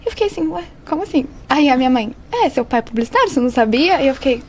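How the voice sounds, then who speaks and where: Young Brazilian woman, distinctly high voice, informal podcast guest, faint ambient noise behind her. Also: lively intonation, rapid-fire, darkish tone. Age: young adult. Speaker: advertising student, podcast guest. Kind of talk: casual conversational storytelling.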